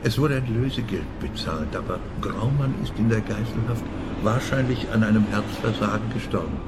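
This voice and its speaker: A man's voice: on the low side, normal speed, very noisy, lightly acted. An actor playing a gloomy, self-pitying older businessman who muses and laments in a radio play. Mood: musing. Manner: musing, lamenting.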